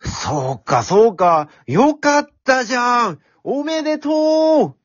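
A man voicing a brash, cheeky talking pencil in an amateur radio drama, speaking in rough, cocky, encouraging slang. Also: very high-pitched, sing-song, slow, dry recording, muffled recording, full character voice. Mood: pleased.

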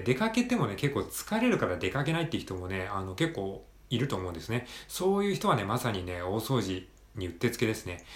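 A man hosting a solo podcast, giving casual practical tips in a conversational way with frequent softening tags at the ends of phrases. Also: fairly low pitch, reverberant.

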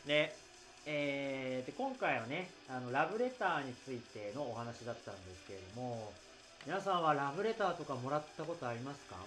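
A man's voice: mid-range voice, expressive, a little fast, a bit bright, faint music behind. A man hosting a casual solo podcast after-talk, explaining things in a hesitant, conversational way. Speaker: podcast host.

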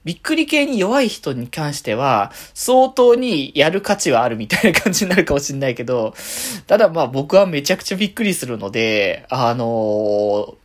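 A woman's voice: rumbling low, very animated, moderate pace. A woman hosting a casual solo radio chat, rambling along in a chatty, giggly way. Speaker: solo podcast host and game streamer.

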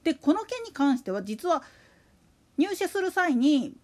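High-pitched, lively, chatty woman. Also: a little slow. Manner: colloquial, slangy, chatty. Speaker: solo podcast host. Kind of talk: casual monologue about a radio announcer.

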